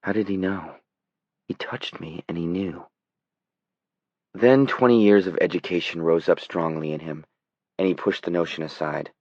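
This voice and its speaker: Male audiobook narrator, low register, dramatic scripted read, dry and slightly dull sound. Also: moderate pace, pauses often, darkish tone, no echo.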